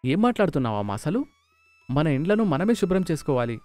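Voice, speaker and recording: A man's voice: a little high, big pitch swings, fast, darkish tone, no room sound. A man voicing a good-natured, joking grown son in a cartoon moral story. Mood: disapproving. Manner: joking, mocking. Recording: music behind the voice.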